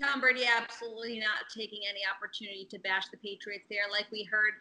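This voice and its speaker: A woman's voice: mid-range voice, little pitch movement, quick pace, sharp tone, low-quality recording. A woman hosting a sports show, presenting and discussing the news.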